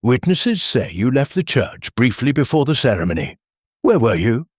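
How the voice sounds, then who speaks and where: Audiobook constable played by a male narrator: accusatory, pressing, skeptical, with a husky edge and dry sound. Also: mid-range voice, very animated, moderate pace, dry recording. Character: blunt, accusing, skeptical village police constable. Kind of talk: audiobook character dialogue.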